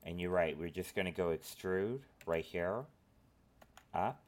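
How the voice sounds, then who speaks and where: A man with a cold, sniffling as he talks through a software modeling tutorial at his computer, rambling with lots of filler phrases. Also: low-pitched, measured pace. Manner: rambling, filler-heavy instructions. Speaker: tutorial host. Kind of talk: screen-recorded software tutorial.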